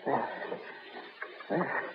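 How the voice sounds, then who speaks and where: An actor playing a frightened, guilt-ridden doctor in a 1930s radio drama, pleading and nervous. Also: male voice, extremely slow. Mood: panicked. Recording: tinny old radio broadcast with hiss.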